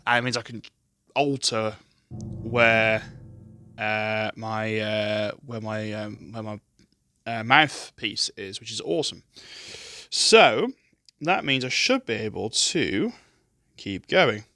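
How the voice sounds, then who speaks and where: A man with a very deep, bassy voice, hosting casual let's-play commentary on a gaming headset mic, chatty and self-deprecating. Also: takes it slowly, pauses often, bright, forceful tone, no room sound, clean studio sound. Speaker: gaming channel host. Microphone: gaming headset with adjustable boom mic. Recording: game music playing under voice. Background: game music and sounds.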